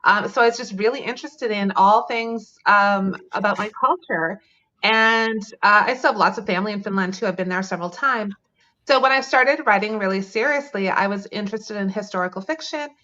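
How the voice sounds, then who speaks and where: A woman speaking as a guest author over a remote call. Her talk is thoughtful and loose, with lots of ums and small laughs. The audio sounds muffled and lo-fi, and her pitch sits in a middle range. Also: fairly bright tone. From Canada. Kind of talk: conversational interview answers.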